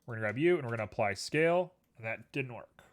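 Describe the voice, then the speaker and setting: A young man talks in a loose, rambling way while he works on a 3D model on a live stream. His voice sits at a middle pitch, and he keeps up an easygoing running commentary, speaking both to his viewers and to the objects on screen. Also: expressive, fast talker.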